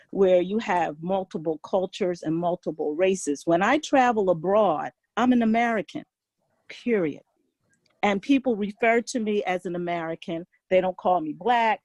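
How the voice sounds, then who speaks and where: A woman's voice: mid-range voice, moderate pace, darkish tone, dry recording. A woman on a video call speaking earnestly and emphatically, repeating key points. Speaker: participant.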